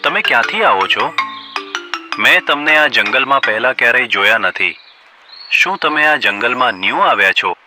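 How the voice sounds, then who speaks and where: A man plays a sly male donkey in a children's cartoon who talks smoothly and schemes. His voice is mid-pitched and very animated, with music playing behind. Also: moderate pace, lightly acted. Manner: crafty, smooth-talking. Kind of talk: cartoon voice acting. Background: background music.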